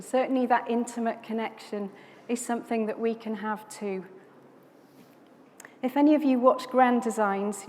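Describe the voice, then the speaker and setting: High-voiced woman preaching in a reverberant hall. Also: a little mellow. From South Wales. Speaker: guest preacher from a conservation charity. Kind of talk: sermon. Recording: long hall reverb, background noise.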